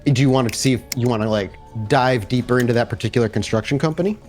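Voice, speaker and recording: A man working as game master, improvising narration casually and haltingly as he thinks aloud. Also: mid-range voice, darkish tone, soft background music.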